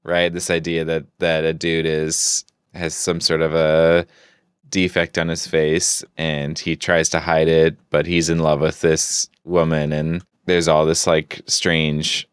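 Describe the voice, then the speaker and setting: Really deep smooth guy co-hosting a podcast, thoughtful and a bit halting. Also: completely flat delivery, dry recording, studio quality. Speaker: podcast co-host. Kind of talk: casual discussion.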